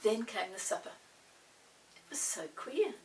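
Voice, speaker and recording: Animated, unhurried woman storyteller. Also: on the high side, distant mic, slight background noise. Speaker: children's storyteller. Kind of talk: expressive storybook read-aloud.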